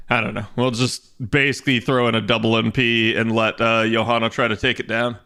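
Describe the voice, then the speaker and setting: A young man chatting casually on his game stream, rambling and restarting as he thinks aloud, with a slightly raspy voice today. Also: mid-range voice, little pitch movement, moderate pace, pushed and bright. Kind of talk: casual livestream chat.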